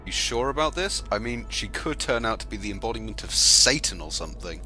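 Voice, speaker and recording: A man's voice: lowish voice, very animated, fairly quick, pushed and bright, soft background music, heavily acted. A man playing an exasperated, sceptical young adventurer, incredulous and emphatic, in an anime parody dub.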